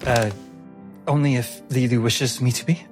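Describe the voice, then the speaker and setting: A man's voice: medium pitch, steady tone, normal speed, soft background music, natural voice. A man voicing a timid, deferential female servant mystic in a tabletop game, with a hesitant, stammering and very formal manner. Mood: nervous, deferential.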